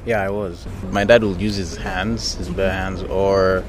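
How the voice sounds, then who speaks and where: Casting: young male interviewee, Tanzanian accent, deep and even delivery, traffic noise around him. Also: a little slow. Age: young adult. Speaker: street interviewee. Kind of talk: casual street interview. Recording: noisy outdoor street with traffic.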